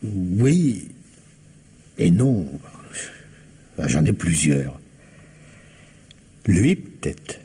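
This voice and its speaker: A man's voice: deep voice, sing-song, very slow, long pauses, warm tone, husky, exaggerated voice. A man acting as a patient, doggedly suspicious police investigator in a French radio mystery, speaking conversationally and insistently. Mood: pensive, suspicious. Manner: conversational, questioning, hesitant and insistent.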